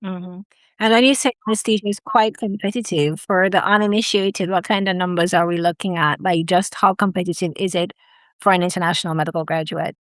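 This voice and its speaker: A woman hosting an interview, asking questions in a measured, encouraging way. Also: on the low side, steady tone, fairly bright tone, no room sound. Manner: measured, encouraging, slow phrasing. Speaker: host, admissions consultant.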